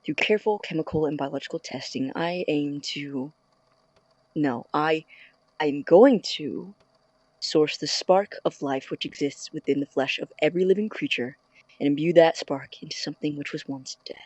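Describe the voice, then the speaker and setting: An actor playing a driven, self-important young scientist in an audio drama, recording a log in a grandiose, deliberate manner. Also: male voice, on the high side, dry recording, natural voice, rough recording. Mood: solemn, proud.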